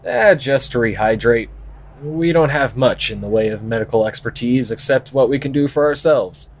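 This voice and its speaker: A skeptical male park ranger, played by an actor in a naturalistic audio drama. He sits at a medium pitch. His delivery is plain-spoken, dry and a bit guarded. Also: moderate pace, a little hiss or hum, natural voice.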